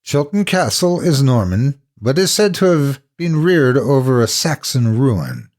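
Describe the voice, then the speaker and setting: A man's voice: mid-range voice, big pitch swings, a little slow, a little mellow, pitched above the performer's own voice. A man voicing a grim, cryptic castle factor in a horror story, terse, cautious and ominous. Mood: grave, uneasy. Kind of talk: horror audiobook character acting.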